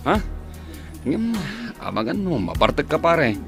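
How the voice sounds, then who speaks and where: A man's voice: fairly high pitch, big pitch swings, unhurried. A man acting a sensible but exasperated drinking buddy in an Ilocano radio drama, exclaiming expressively. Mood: admonishing. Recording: music clearly behind the voice.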